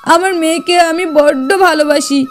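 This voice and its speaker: A woman's voice: sits high, normal speed, soft background music, natural voice. A woman voicing a protective village mother with a worried, pleading manner in a cartoon fairy tale.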